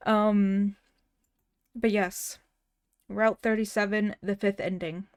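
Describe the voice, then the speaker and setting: A woman's voice: mid-range voice, fairly even intonation, takes it slowly, clean tone, dry recording, clean studio sound. A woman streamer in casual chat with her viewers, stuttering often and restarting words, laughing now and then. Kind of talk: casual livestream chat, reading chat messages and a story aloud.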